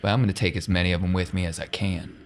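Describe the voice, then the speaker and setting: Defiant, resolute male fighter for a tabletop game, voiced by a man, low pitch, some background noise. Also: steady tone, very fast. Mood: grim defiance. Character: defeated but defiant man, grimly determined fighter.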